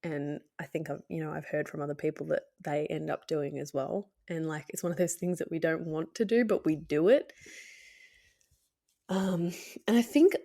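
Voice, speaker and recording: A woman with a cold, sounding hoarse and nasal, hosting her podcast in a candid, hesitant way with many fillers and soft laughs. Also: low voice, fairly even intonation, normal speed. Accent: Australian. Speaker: podcast host. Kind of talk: casual solo podcast talk.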